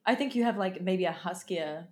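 A young woman with a slightly raspy voice, chatting playfully on a podcast with quick, giggly reactions. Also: on the low side, crowd noise. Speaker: podcast co-host. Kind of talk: casual chat. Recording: echoey room.

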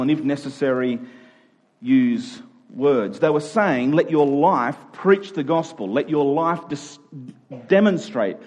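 A male preacher with a somewhat husky voice at an average pitch. He delivers his sermon conversationally, in informal, everyday phrasing, and leans hard on key phrases by repeating them. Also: darkish tone.

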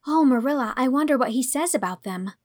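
A woman's voice: on the high side, normal speed, dry recording, studio quality, natural voice. A woman voicing an eager, earnest young woman.

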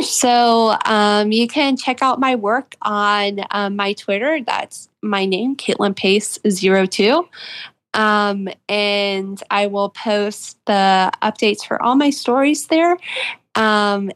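A woman's voice: mid-range voice, slow, fairly bright tone. A woman speaking as an author being interviewed, chatting casually in an enthusiastic, friendly way.

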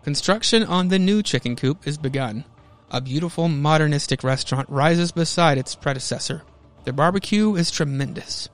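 A man's voice: a little high, moderate pace. An American man hosting a podcast, mixing theatrical comic-book narration with casual commentary. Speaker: podcast host.

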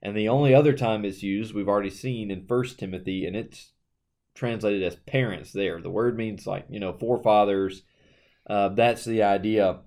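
Guy with a lowish voice chatting through a bible passage, lots of ums. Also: normal speed, darkish tone. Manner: conversational, reads scripture aloud, uses fillers. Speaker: podcast co-host. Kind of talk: casual Bible study discussion.

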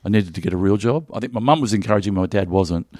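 A man's voice: on the low side, lively intonation, rapid-fire, a little mellow, no echo, clean studio sound. A middle-aged Australian man from Melbourne, a comedian guest in an interview, chatting and telling jokey stories with lots of restarts. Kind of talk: casual interview chat.

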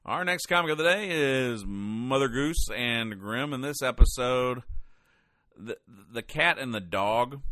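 A man hosting a podcast, casually reading out comic strips and explaining the jokes in a deadpan way. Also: mid-range voice, no echo, clean studio sound. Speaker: podcast host.

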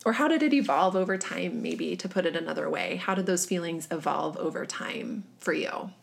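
A woman hosting a conversation, gentle and appreciative as she asks questions. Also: lowish voice, sing-song, moderate pace, vocal fry. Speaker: host. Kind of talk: interview conversation.

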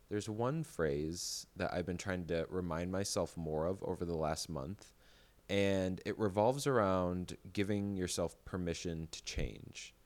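A man's voice: low-pitched, darkish tone. A man hosting a podcast who speaks earnestly and reflectively. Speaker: podcast host. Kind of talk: podcast intro and casual chat.

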